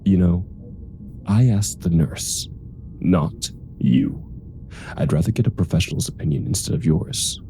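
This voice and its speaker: A man's voice: sits low, measured pace, mellow, faint music behind. A man playing a grumpy, exasperated male superhero in a comedic audio roleplay, with dry, weary sarcasm. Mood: irritated, dry.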